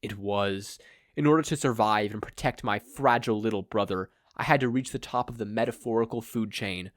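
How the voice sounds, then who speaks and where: A man's voice: on the low side, fairly quick, no echo, studio quality, natural voice. A man playing a grandiose, self-deluded male supervillain with a pompous, melodramatic, wordy delivery in a fan fiction reading. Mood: solemn.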